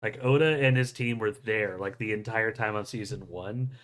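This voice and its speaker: A man's voice: fairly low pitch, normal speed, a bit bright. A man hosting a podcast, chatting casually and enthusiastically as a fan, with plenty of swearing. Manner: profane, enthusiastic fan talk. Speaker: podcast host. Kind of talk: casual chat about movie news.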